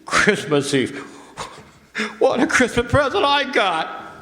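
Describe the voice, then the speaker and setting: A man's voice: extremely high pitch, very animated, slow, exaggerated voice. A man playing a hot-tempered, bitter ambulance driver in an old-time radio drama, slangy, blustering and agitated. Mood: bitter, weary. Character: hot-tempered, bitter working-class male ambulance driver, desperate husband. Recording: echoey hall stage recording, faint noise.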